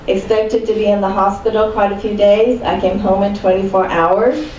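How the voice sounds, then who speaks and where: A woman's voice: medium pitch, darkish tone. A woman in her sixties from Chile giving a personal testimony as a panel speaker at a live event. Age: 60s. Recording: roomy, distant mic, faint background noise.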